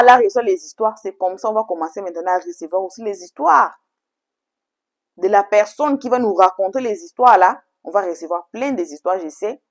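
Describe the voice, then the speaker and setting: A woman whose first language is English, chatting to viewers as a channel host in an emphatic, repetitive way. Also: mid-range voice. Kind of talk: casual, chatty introduction to story videos.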